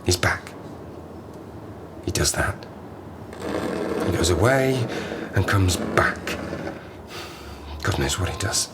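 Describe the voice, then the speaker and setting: A man's voice: low-pitched, expressive. Man acting a haunted, swooping-voiced Arctic survivor. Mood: uneasy, haunted. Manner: wry, halting, rambling, increasingly agitated. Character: stranded Arctic survivor, haunted, sardonic man unravelling with fear. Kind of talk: dramatic audio play monologue. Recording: noisy ambience behind the voice.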